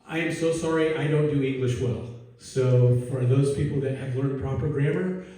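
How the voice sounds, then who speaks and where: Male pastor, mellow warm tone, casual and self-deprecating, very echoey church recorded from a distance. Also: medium pitch, moderate pace. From Netherlands. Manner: casual, self-deprecating, asks the congregation questions. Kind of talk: conversational sermon. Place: church sanctuary. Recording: echoey church hall from afar.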